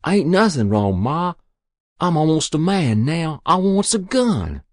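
A man's voice: fairly high pitch, expressive, moderate pace, darkish tone, no room sound, studio quality, voice pushed up. A man voicing an eager, earnest teenage farm boy with a rural Southern US accent, pleading insistently in a story reading.

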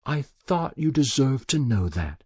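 A man's voice: medium pitch, big pitch swings, unhurried, husky, no echo, studio quality, pitched above the performer's own voice. A man voicing an elderly confessing woman. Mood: pained. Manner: quiet, pained, confessional.

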